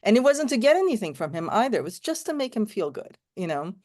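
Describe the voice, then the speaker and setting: A woman's voice: medium pitch, big pitch swings, fast, a little mellow. A woman born in Romania, raised in Canada, speaking in a casual panel discussion and telling personal stories with many restarts. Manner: thoughtful, storytelling, frequent "you know" and restarts.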